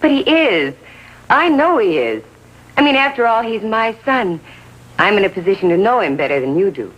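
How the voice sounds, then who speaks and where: A woman's voice: medium pitch, big pitch swings, moderate pace, fairly bright tone. An actress playing a doting, trusting mother in 1950s TV drama style, polite and earnest. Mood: earnest, insistent. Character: doting, trusting mother of a teenage gang leader. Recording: dull hissy 1950s TV soundtrack.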